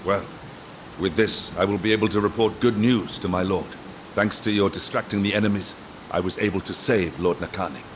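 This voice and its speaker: An actor playing a shrewd, dutiful samurai retainer and battle tactician in a video game cutscene. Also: male voice, low voice, normal speed, background noise, lightly acted. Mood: businesslike, urgent. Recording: music under the voice.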